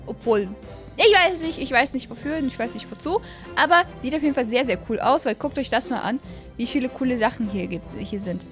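A young adult woman giving casual, excitable gameplay commentary as a host, repeating phrases and stumbling over words, close on a studio mic. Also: high voice, a little fast, soft background music. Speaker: gaming video host. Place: small room at a gaming setup, indoors. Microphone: close studio mic on boom arm.

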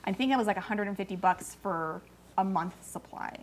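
A woman's voice: mid-range voice, a little fast. A woman in her early forties, co-hosting a casual talk show from a studio sofa, who explains things at length. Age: early 40s. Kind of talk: casual chat. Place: TV studio set, seated on sofa.

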